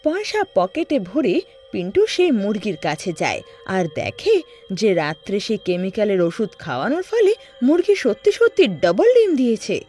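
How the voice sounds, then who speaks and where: A woman's voice: mid-range voice, huge pitch swings, moderate pace, a little mellow, faint music behind. A woman narrating a scripted story in a dramatic storytelling style.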